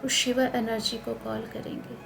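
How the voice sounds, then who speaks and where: An Indian woman leading a guided meditation in a calm, soothing, instructional manner with spaced phrases. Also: a little high, moderate pace, creaky, over music. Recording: noticeable background noise.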